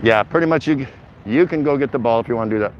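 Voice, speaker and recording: A man's voice: mid-range voice, quick pace. A man hosting a casual livestream as he walks outdoors, rambling along in an easygoing, chatty, jokey way to his viewers. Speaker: vlog host livestreaming a walk. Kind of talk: casual rambling walking commentary to live chat. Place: outdoors, walking in a city bayside area. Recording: dull lo-fi outdoor mobile audio, clear traffic noise. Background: passing vehicles and urban outdoor noise.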